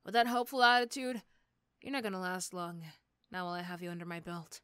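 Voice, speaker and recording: A woman's voice: on the low side, fast talker, bright, forceful tone, clean tone, no room sound, clean studio sound. A woman playing a sardonic, threatening but begrudgingly fond female supervillain in an ASMR roleplay. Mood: amused threat.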